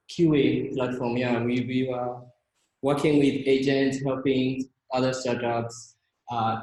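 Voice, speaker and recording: A young man from Kenya talks through his slides at an unhurried, slow pace, stopping often to restart with fillers. His voice sits mid-pitch, and the room around him rings with noticeable echo. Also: a little mellow. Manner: halting, many fillers and restarts. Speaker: team member presenting himself. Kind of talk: casual slide presentation.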